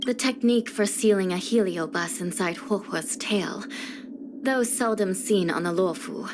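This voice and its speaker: A woman playing a weary, grave young judge of the underworld in a video game, speaking softly in measured, formal phrases. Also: mid-range voice, background noise, natural voice. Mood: solemn, reflective. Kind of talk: scripted video game character voice lines. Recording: music under the voice. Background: background music.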